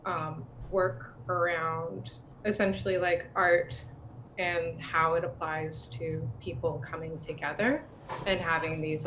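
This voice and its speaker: A woman's voice: lowish voice, fairly bright tone. A woman introducing herself as a guest artist on a video call, hesitant and full of fillers. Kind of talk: self-introduction on a streamed salon. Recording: noisy video call audio.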